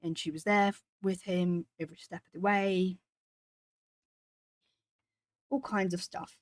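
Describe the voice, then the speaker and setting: A woman's voice: lowish voice, steady tone, dry recording. A woman from Brazil, a native Portuguese speaker with a southern Brazilian accent, chatting casually with viewers and reading their comments aloud while she colours. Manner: chatty, reads chat comments aloud, pauses to think. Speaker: art streamer.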